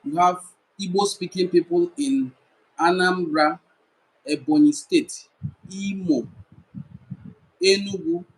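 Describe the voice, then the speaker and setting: Nigerian man, fairly high voice for a man, emphatic explainer who repeats and lists points. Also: steady tone, slow, long pauses, low-quality recording. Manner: repetitive, emphatic listing. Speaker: cultural commentator. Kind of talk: educational talk to camera.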